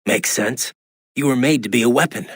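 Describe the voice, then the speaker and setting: An actor, a man, playing a gruff, wry cyborg soldier in a video game, with a gravelly, raspy voice and a laconic, informal way of talking. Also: medium pitch, normal speed, lightly acted. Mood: wry, casual. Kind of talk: scripted video game voice acting.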